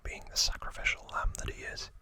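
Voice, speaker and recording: A man hosting ASMR, narrating trivia in a breathy, hushed whisper with unhurried pauses. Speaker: ASMR host.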